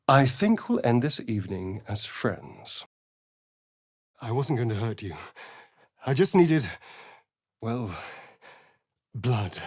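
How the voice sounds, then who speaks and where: A sly vampire rogue for a fantasy video game. His delivery is flamboyant and unhurried, with wide, lively swings of pitch. He enunciates precisely, with an arch, teasing edge and a slightly husky voice in a very dry recording. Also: no room sound, heavily acted. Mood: guarded, wistful. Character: sly, theatrical male vampire spawn rogue, centuries old. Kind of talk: video game character voice lines and narration.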